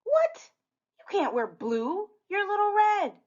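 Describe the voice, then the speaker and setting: A woman's voice: extremely high pitch, wildly swooping pitch, slow pace, voice pushed up, exaggerated voice. A woman voicing an indignant, protesting little girl from a storybook, on a dull recording. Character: indignant little sister of the heroine.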